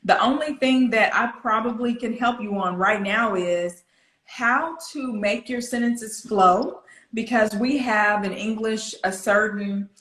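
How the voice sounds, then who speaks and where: A woman's voice: medium pitch, fairly bright tone, echoey room. A woman with an American Southern accent coaching one-to-one, explaining things directly and checking in with questions. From southern United States. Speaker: English pronunciation coach.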